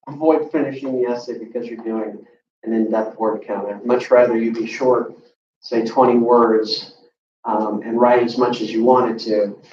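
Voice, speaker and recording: An American man giving an informal classroom explanation as a college instructor, easygoing and hesitant, pausing mid-sentence. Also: mid-range voice, background noise. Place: classroom with students. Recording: echoey room, distant muffled mic.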